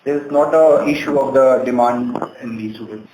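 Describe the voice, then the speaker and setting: A man's voice: mid-range voice, fairly quick, dark tone. A man with an Indian accent speaking as a company executive, explaining answers on a conference call. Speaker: bank executive answering questions. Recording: roomy conference call, faint noise.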